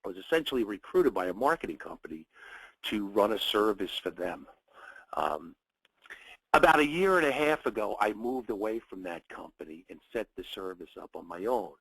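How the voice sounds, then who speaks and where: Man, webinar host, low voice, raspy, nasal from a cold, dry close sound, friendly, halting. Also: very animated, fairly bright tone, no room sound, rough recording. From United States. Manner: friendly, welcoming, speaks in short phrases with fillers. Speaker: webinar host, trading educator. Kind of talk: live webinar presentation.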